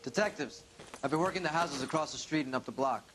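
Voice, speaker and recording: A man's voice: a little high, fast, over loud music, lightly acted. An actor playing a dutiful patrolman reporting to detectives in a video game cutscene.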